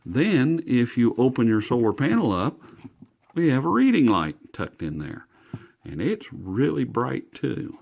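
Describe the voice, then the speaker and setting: A man's voice: medium pitch, big pitch swings, a little slow, gravelly, a little mellow. An American man giving a casual, hands-on product review in an enthusiastic, folksy way, recorded indoors. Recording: faint background noise, indoor room.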